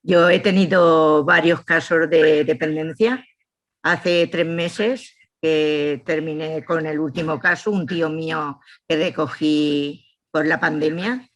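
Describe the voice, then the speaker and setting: A woman's voice: low voice, a bit bright. A woman with a southeastern Spanish accent gives a personal testimony at a public talk as a family carer. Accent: Castilian Spanish from southeastern Spain. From Spain. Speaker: course attendee and family carer.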